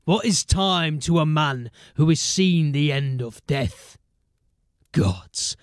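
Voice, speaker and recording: An actor playing a dying old gang boss in a fantasy audio drama, gruff and sardonic, weak with pain and coughing. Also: male voice, on the high side, lively intonation, takes it slowly, no room sound, clean studio sound. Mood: weary, grave. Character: dying old male gang boss, defiant, bitter, foul-mouthed.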